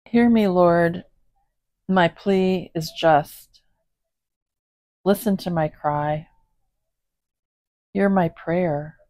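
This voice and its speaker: A woman's voice: lowish voice, very slow, long pauses, dark tone, dry recording, clean studio sound. A woman hosting a home video, chatting casually and reading a psalm aloud, with chatty apologetic asides. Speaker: host reading scripture. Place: home, indoors, voice behind camera filming a cat.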